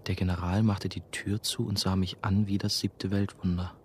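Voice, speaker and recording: A man's voice: low voice, level tone, moderate pace, darkish tone, slight background noise, close to an everyday voice. An actor playing a brooding former novice who narrates his story in a reflective radio drama style. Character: brooding male ex-novice, nursing-home worker, telling his story. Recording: music behind the voice.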